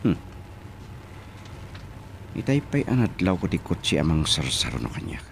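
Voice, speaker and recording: Guy acting smug ilocano schemer in a radio drama, real deep n slow, muttering over some noise. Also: sing-song, gravelly, exaggerated voice. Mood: smug musing.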